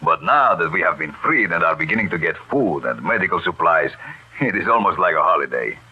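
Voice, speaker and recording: An actor playing a courteous, modest, formal doctor in a 1940s radio drama. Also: male voice, low voice, lively intonation, brisk, slightly rough. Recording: muffled old radio recording.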